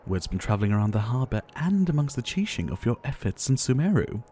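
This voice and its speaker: A man playing a gentle, ailing pharmacist doctor in an ASMR roleplay, soft-spoken and theatrical, with courteous, wistful phrasing and sighs. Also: medium pitch, sing-song, quick pace, a little hiss or hum, close to an everyday voice. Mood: warm, welcoming.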